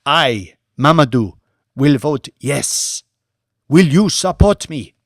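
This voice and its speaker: A man's voice: a little high, expressive, slow, a bit bright, no echo, clean studio sound, heavily acted. A man voicing a fervent female African protest leader giving a declamatory rally speech in an audiobook. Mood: rousing, insistent. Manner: declamatory, exclamatory.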